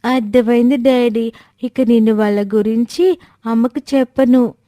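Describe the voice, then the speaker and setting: A woman's voice: fairly high pitch, fairly even intonation, unhurried, dark tone, no room sound, studio quality, natural voice. A woman voicing an innocent, trusting, obedient little girl with a simple questioning manner in a story. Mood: innocent, curious.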